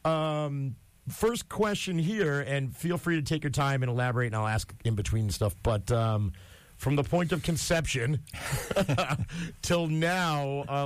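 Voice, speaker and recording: A man's voice: mid-range voice, dry recording. Chatty, joking young male radio host. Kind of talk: casual interview.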